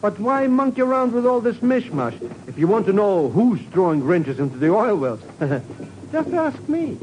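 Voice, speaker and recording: Brash, dim radio sidekick: highish, swooping, mellow voice, slangy bluster and stammering. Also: male voice, very animated, normal speed. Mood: cocky, puzzled. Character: brash, slow-witted, excitable comic sidekick. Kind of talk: 1950s radio comedy-drama acting. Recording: faintly noisy old radio recording.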